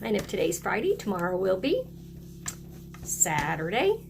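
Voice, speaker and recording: A woman's voice: medium pitch, sing-song, normal speed, vocal fry, over music. A woman teacher talking to young children in a video lesson, encouraging them and inviting them to join in. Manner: encouraging, asks children to repeat along. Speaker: early-grade teacher.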